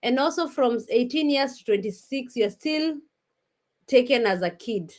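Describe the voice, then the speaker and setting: A woman's voice: fairly high pitch, expressive, unhurried, darkish tone. A Kenyan woman in her thirties hosting a casual live stream, talking straight to her viewers with frequent restarts. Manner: chatty, addresses viewers directly, frequent restarts.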